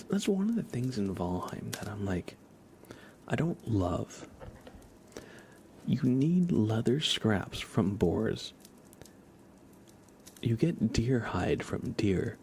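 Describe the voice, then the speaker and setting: Low, hushed man doing casual ASMR chat. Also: very animated, slow, long pauses, breathy edge. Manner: hushed, often slipping into whispers; casual, rambling, hesitant with frequent "like". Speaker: livestream host. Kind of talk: soft-spoken ASMR livestream, casual chat.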